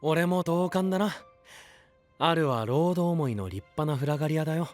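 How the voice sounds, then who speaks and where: A man's voice: fairly high pitch, expressive, moderate pace, a little mellow, faint music behind, natural voice. A man playing a friendly, supportive young knight with an easygoing, casual way of talking in an anime voice drama.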